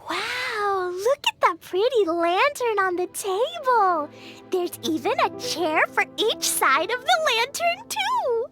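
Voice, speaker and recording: A woman's voice: very high voice, big pitch swings, slow, over music, exaggerated voice. A woman voicing a sweet, eager little girl in a video game, innocent and wide-eyed. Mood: amazed.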